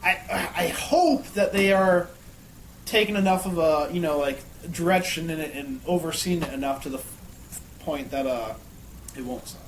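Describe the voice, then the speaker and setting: A man's voice: on the high side, moderate pace, slight background noise. A man in casual, sweary chat with friends, blunt and opinionated. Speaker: panelist on a friends' discussion show. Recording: echoey room.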